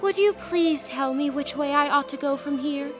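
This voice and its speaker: A woman's voice: sits high, moderate pace, over music, close to an everyday voice. A woman playing a polite but indignant young girl lost in a fantasy land, in a radio play. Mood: curious.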